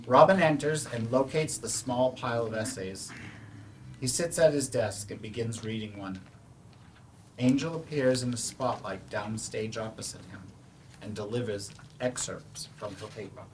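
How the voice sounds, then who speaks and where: A man reads scripted stage directions at a staged reading. His voice sits at a middle pitch, and the recording carries a little noise. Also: normal speed, rough recording.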